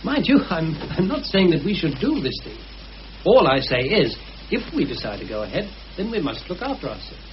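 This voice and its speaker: An actor playing a calm, calculating British war veteran who lays out a murder plan in a measured, persuasive way, in mid-century radio drama style. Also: male voice, on the high side. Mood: methodical, persuasive. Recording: muffled, hissy old radio recording.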